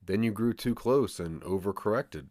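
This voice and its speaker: A man's voice: on the low side, moderate pace, darkish tone, no room sound, clean studio sound. A man narrating a scripted audio drama in the second person, with a dramatic storytelling manner.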